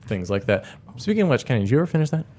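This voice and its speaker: A man's voice: mid-range voice, sing-song, racing, a little mellow. A man talking casually with a group as a podcast panelist. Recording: noticeable background noise.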